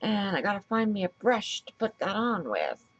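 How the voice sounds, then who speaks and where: A woman with a raspy voice and a stuffy nose, chatting casually as she works through a crafting tutorial and thinks aloud. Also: mid-range voice, sing-song, moderate pace, dull audio, slight background noise. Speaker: craft video host.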